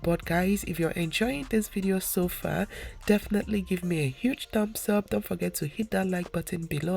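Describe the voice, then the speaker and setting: A woman's voice: fairly low pitch, vocal fry, a little mellow. A young woman narrating a home cleaning vlog in a casual, chatty way, with a stuffy nose. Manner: chatty, repetitive, addresses viewers as guys. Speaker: home vlogger.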